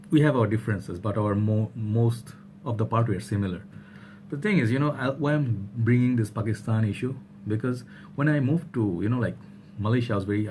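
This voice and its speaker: Young man from Bangladesh, vlogger, rambling casual delivery, lowish voice. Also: a little mellow, slight background noise. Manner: rambling, many fillers and restarts. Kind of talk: casual talk to camera.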